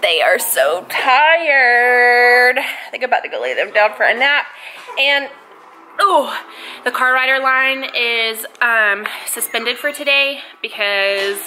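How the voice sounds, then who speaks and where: Nasal, high-voiced young mom vlogging. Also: female voice, expressive, slow pace, background noise. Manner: chatty, filler-heavy, playful baby talk. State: nasal from a cold. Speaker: family vlogger, twin mom. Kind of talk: casual vlog chat to camera. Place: living room at home. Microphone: handheld camera close to face.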